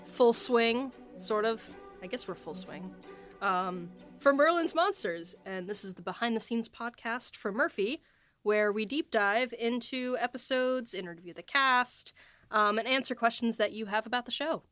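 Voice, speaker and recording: A woman's voice: fairly high pitch, expressive, a little slow, a bit bright, no room sound, soft background music. A woman hosting a behind-the-scenes podcast in a loose, chatty way with plenty of fillers. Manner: chatty, many fillers and light laughs. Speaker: podcast host and writer. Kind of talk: casual podcast chat.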